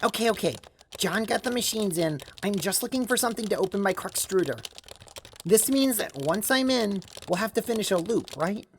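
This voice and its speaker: A woman playing a lively, straightforward teenage girl adventurer in an audio drama, casual and slangy. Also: medium pitch, moderate pace, slight background noise, close to an everyday voice. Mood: casual, curious.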